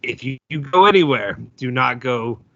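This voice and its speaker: A man hosting a casual sports podcast, loud-voiced and rambling, with lots of fillers and restarts. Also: medium pitch, lively intonation, moderate pace, no room sound, lo-fi sound. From United States. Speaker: podcast host.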